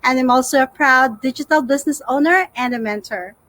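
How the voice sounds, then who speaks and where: A woman's voice: sits high, a little fast, a bit bright. A woman from the Philippines with a Filipino accent, presenting as co-host of a casual livestream.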